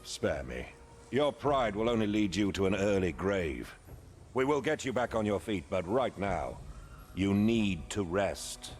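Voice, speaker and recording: An actor playing a zealous, devout commander in a fantasy video game, speaking in solemn, rousing oratory. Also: male voice, fairly low pitch, very animated, a little slow. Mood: stern. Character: zealous male holy war commander, defiant and devout. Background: music.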